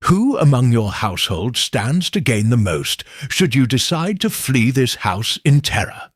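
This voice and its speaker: A man voicing a composed, sharp-witted detective who talks low and measured. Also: sing-song, dry recording, studio quality.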